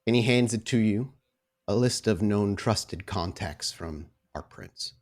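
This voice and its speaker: A man's voice: on the low side, unhurried, darkish tone. Man narrating a tabletop roleplaying game. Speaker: game master.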